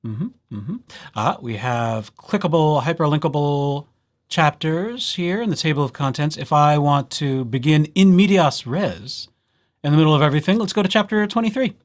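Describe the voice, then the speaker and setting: A man giving a casual software walkthrough as a librarian, in a playful, wry and chatty way. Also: a little high, animated, normal speed. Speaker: librarian presenting a tutorial series.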